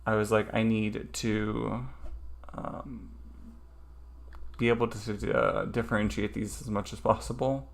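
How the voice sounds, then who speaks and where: A man's voice: on the low side, level tone, measured pace, gravelly. A man streaming a casual study session, thinking aloud hesitantly with lots of ums.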